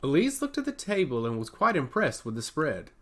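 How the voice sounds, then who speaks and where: Man narrating with a lively, swooping pitch. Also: a little fast. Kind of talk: scripted fantasy audio story narration.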